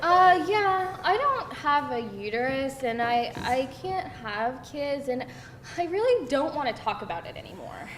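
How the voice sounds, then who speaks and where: A woman's voice: very animated, unhurried, fairly bright tone, exaggerated voice. A woman playing a frank, sardonic twenty-eight-year-old career woman in a screenplay table read. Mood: weary, defensive. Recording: roomy echo, rumbly background noise.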